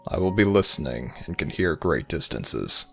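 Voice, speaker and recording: A man playing an ancient Egyptian god of the dead, gruff but caring, in a slow, intimate ASMR audio roleplay with formal, measured phrasing. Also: a bass voice, big pitch swings, pushed and bright, soft background music, heavily acted. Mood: soothing, reassuring. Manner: formal, measured, archaic phrasing, addressing a listener as child.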